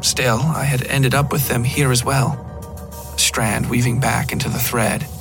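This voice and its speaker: A male narrator with a fry-edged, mid-pitched voice reads first-person fiction. Guitar music runs clearly behind the scripted narration. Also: steady tone, a little slow. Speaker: narrator of a horror fiction podcast.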